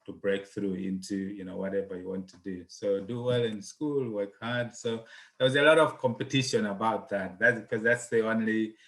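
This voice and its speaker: Bright-voiced man from Malawi, hesitant. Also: lowish voice, steady tone, normal speed. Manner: hesitant, many fillers and restarts. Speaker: guest, accountant and property investor. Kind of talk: conversational interview.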